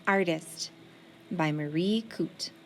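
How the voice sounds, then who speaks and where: A woman reading a children's picture book aloud in an expressive, deliberate way, like a teacher with young pupils. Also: lowish voice.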